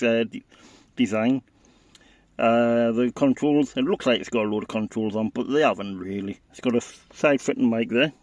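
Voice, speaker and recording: A man giving a casual, unscripted product walkthrough as a hobbyist, halting and matter-of-fact. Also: medium pitch, darkish tone, no echo, muffled recording. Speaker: hobbyist video presenter.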